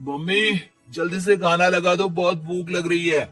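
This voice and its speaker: Man playing a high-pitched, whiny lazy teenage son. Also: steady tone, a little slow, a bit bright. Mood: petulant. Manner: whiny, flippant, entitled. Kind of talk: Hindi cartoon moral story acting. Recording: music under the voice.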